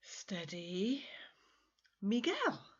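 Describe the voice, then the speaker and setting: A woman's voice: medium pitch, huge pitch swings, very slow, highs cut off, voice pushed up, exaggerated voice. A woman voicing an intrigued, self-possessed English woman sculptor in a story, polite but guarded. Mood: whispered self-calming, then surprised.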